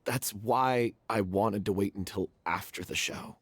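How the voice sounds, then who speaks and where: A guilt-stricken husky young actor. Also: male voice, on the low side, measured pace, no room sound. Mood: guilty, hesitant. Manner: hesitant confession breaking into anguish. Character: guilt-ridden young male stage actor. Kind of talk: game voice acting.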